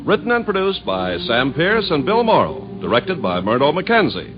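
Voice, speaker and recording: A man's voice: fairly high pitch, wildly swooping pitch, normal speed. An American man reading a scripted radio announcement in a polished, formal style, on a dull vintage recording. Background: music.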